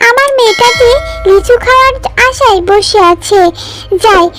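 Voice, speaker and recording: A woman's voice: extremely high pitch, moderate pace, over music. A woman playing a caring, easily worried mother fox in a cartoon, earnest and motherly.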